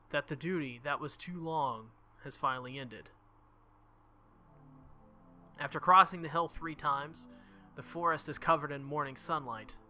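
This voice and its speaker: A man's voice: a little high, bright, forceful tone. A man who makes gaming videos, reading visual novel text aloud and commenting casually on the game. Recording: music behind the voice. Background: game music.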